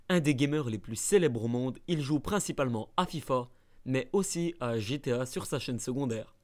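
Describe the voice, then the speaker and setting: A man's voice: mid-range voice, a little mellow. A man narrating a scripted ranking video, adding playful mock-dramatic asides.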